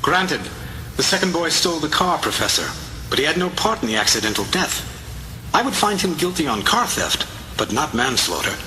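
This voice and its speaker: A man's voice: fairly high pitch, sing-song, normal speed, slight background noise, exaggerated voice, poor audio. An actor playing a brooding, vengeful crimefighter who solemnly tells his own past in a theatrical comic-book drama. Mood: earnest. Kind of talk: dramatic first-person comic narration. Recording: loud music under the voice. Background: dramatic music.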